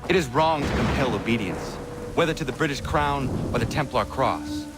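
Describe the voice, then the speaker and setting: A man's voice: medium pitch, lively intonation, moderate pace, loud music behind the voice, lightly acted. A man playing an earnest, principled young assassin in a video game, blunt, solemn and formal in manner.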